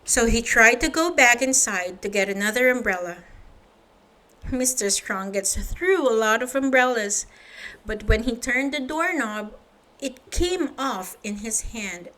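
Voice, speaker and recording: A woman narrates a picture book for young children. Her voice sits a little high and her pace is slow, and she talks straight to the listener as she reads. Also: animated, pushed and bright. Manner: addresses young listeners directly. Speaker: children's story reader. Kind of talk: read-aloud storybook narration.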